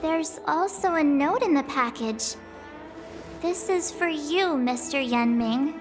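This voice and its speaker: A young girl adventurer from a video game, very high in pitch and unhurried. She is curious and helpful, musing aloud over clear background music. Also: expressive. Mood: curious, gentle.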